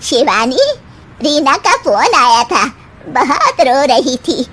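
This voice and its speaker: A woman's voice: high voice, very animated, takes it slowly, a bit bright, full character voice. A woman voicing a demanding, nagging older mother-in-law in a family moral story. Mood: scolding, accusing.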